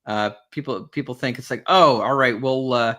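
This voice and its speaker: A man hosting an interview, talking in an animated, rambling way with many fillers. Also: mid-range voice, normal speed. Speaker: podcast host. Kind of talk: conversational interview.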